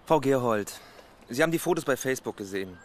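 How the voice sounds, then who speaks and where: A man's voice: medium pitch, animated, quick pace, a little hiss or hum, close to an everyday voice. A man playing a terse, sceptical senior police inspector in a naturalistic radio crime drama, blunt and laconic. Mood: formal, probing. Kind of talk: naturalistic German radio play acting.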